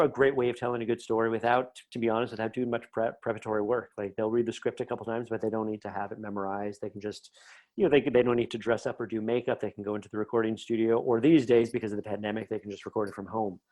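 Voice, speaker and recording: A man with a fairly low voice speaks as a guest in an interview. He is chatty and enthusiastic, explaining his work in a conversational, anecdotal way. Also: fairly even intonation, quick pace, darkish tone. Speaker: interview guest, writer and podcast creator.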